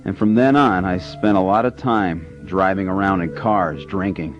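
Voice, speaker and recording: A man in a radio drama narrating his own story in a calm, measured way. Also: deep voice, natural voice. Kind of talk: scripted first-person narration. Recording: lo-fi radio with music under.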